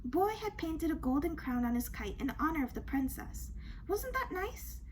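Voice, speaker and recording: A woman reads a children's storybook in a high-pitched, very animated voice. She is expressive and dramatic, leaning on questions and little asides. There is some noise behind her. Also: moderate pace, poor audio. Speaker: storybook reader. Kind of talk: expressive children's read-aloud.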